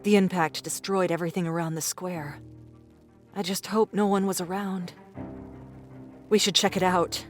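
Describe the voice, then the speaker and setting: A woman's voice: on the low side, fairly even intonation, moderate pace, long pauses, faint music behind, close to an everyday voice. An actress playing a tall, bold, decisive woman survivor in an audiobook drama, with a blunt, assertive manner. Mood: curious, observing.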